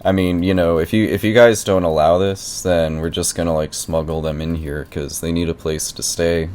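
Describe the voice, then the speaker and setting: Guy putting on a low voiced clueless squatter tenant on a prank call, rambly, stubborn, argues everything. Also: fairly even intonation, normal speed, darkish tone, lightly acted. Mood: rambling, aggrieved. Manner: rambling, argumentative, feigned naivety. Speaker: prank caller. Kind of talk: improvised phone prank conversation.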